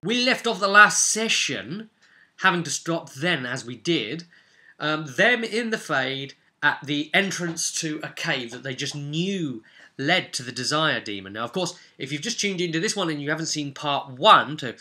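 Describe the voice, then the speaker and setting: A man's voice: on the high side, expressive, normal speed, bright, forceful tone. A man hosting a vlog as a game master, explaining casually in a rambling, emphatic way and repeating words for emphasis. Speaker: game master and vidcast host.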